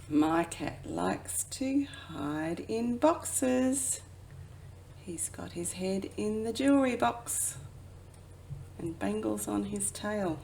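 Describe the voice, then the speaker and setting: Slow-reading woman, children's storytime, creaky voice. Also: mid-range voice, slight background noise. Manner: expressive, drawn-out refrains with hushed asides. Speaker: children's educator reading a picture book. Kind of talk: children's storybook read-aloud.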